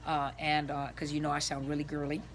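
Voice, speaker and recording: Raspy-voiced woman counselor, rambling. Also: deep voice, monotone, fairly quick, a little hiss or hum. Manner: rambling, earnest, repeats and restarts phrases; gestures with her hands as she talks. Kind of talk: informal advice talk to viewers. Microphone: camera set on the floor.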